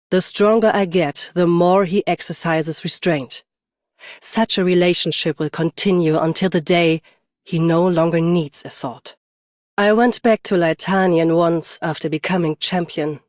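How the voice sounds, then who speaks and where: A woman's voice: on the low side, darkish tone, dry recording, studio quality, close to an everyday voice. A woman playing a stoic, battle-hardened champion swordswoman and bodyguard in a video game, restrained and commanding. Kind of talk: scripted game character voice lines.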